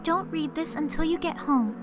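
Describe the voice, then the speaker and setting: Woman doing a flustered shy game girl, high voice, music behind. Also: moderate pace, lightly acted. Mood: nervous, insistent. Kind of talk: video game voice acting.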